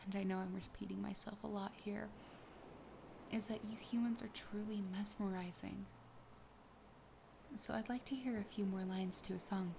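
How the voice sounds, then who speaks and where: A woman voicing a wistful, sassy siren in an ASMR roleplay, softly and breathily, often half-whispering at a slow, intimate pace. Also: mid-range voice, vocal fry, slight background noise. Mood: coaxing, intimate. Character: sassy, possessive, wistful young female siren.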